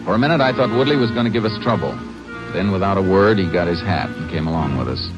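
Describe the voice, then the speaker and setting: An actor playing a calm, shrewd Texas Ranger who narrates his case in terse, matter-of-fact old-time radio style. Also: low-pitched, fast talker, loud music behind the voice. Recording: dull vintage radio recording.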